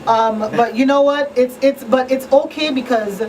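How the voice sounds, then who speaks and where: A woman's voice: high voice, moderate pace, darkish tone. A woman hosting a talk show in a conversational style. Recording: distant mic, clearly noisy room.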